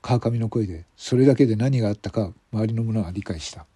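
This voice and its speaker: A man narrating a scripted mystery radio drama in measured, separate phrases. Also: lowish voice, mellow, slightly rough, no echo.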